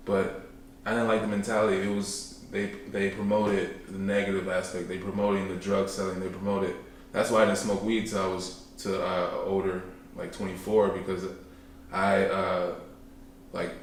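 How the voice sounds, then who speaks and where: Podcast guest, young man, deep voice, easygoing and rambling, recorded in a boomy room. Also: a little hiss or hum. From Chicago, South Side. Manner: laid-back, rambling, joking, trails off often. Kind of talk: casual conversational interview. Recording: echoey room, mic at a distance.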